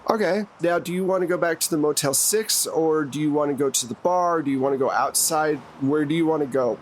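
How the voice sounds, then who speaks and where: A man's voice: fairly high pitch, a little fast, slight background noise. A man acting as game master in a tabletop role-playing game, prompting players conversationally with questions and rulings.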